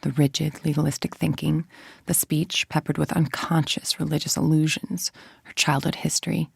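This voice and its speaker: A calculating, middle-aged female villain scientist from a video game. Her voice is low and gravelly, recorded very dry and close. She speaks with clipped authority and cool, controlled menace. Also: level tone, moderate pace, dry recording, full character voice. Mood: cold, measured.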